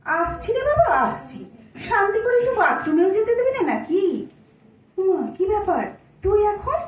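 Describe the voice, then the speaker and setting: A woman acting a fussing, sharp-tongued mother of a married daughter in an audio drama, exasperated and nosy. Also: very high-pitched, very animated, moderate pace. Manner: exasperated, inquisitive, sardonic. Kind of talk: Bengali audio-play acting on stage. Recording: echoey room, distant mic.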